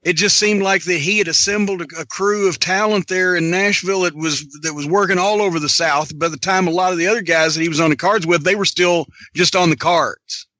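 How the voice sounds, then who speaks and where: A man's voice: sits high, steady tone, a little fast, hardly any pauses, bright, forceful tone, dry recording. A man interviewing on a podcast in a conversational style, circling wordily toward his question.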